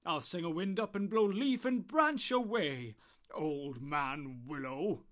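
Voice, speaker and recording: A man voicing a jolly, booming old man of the woods in an audiobook, with a loud rustic sing-song manner and drawn-out words. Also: animated. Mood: boisterous. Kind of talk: audiobook character voice.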